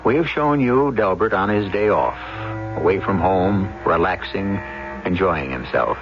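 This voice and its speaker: Low, theatrical male radio drama host. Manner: theatrical, wry. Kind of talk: scripted 1970s radio narration. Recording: muffled vintage radio, loud music behind. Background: loud music.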